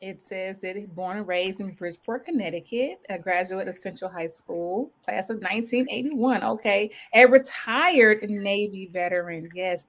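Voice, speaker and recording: An upbeat woman from Ohio hosts a casual interview show, talking in a lively, enthusiastic way as she reads out a guest's background and asks about his life. Her voice sits at an ordinary middle pitch for a woman. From Cincinnati, Ohio, USA. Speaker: show host.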